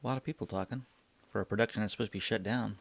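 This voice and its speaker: A man's voice: on the low side, racing, creaky, background noise. A man hosting a reaction video, giving casual, informal commentary and talking back to what he watches. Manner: informal, talks back to the show. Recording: old TV soundtrack playing behind. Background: music and dialogue of an old TV show.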